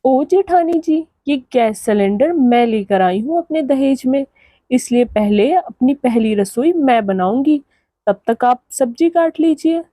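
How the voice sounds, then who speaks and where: A woman's voice: sits high, lively intonation, unhurried, mellow, no room sound. A woman voicing a haughty, boastful young daughter-in-law in a cartoon moral story, with a taunting, condescending manner. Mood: boastful, scornful. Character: haughty young city daughter-in-law flaunting her dowry.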